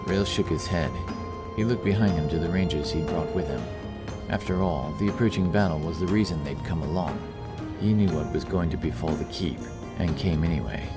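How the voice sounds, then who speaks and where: Male narrator for epic fantasy audiobook, deep register, reading over prominent score. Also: a little fast, over music.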